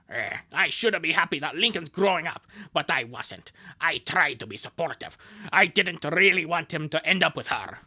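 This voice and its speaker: A man voicing a moody, defensive tomboy teenage big sister in a cartoon fan dub, blunt and halting. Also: on the high side, pushed and bright, exaggerated voice.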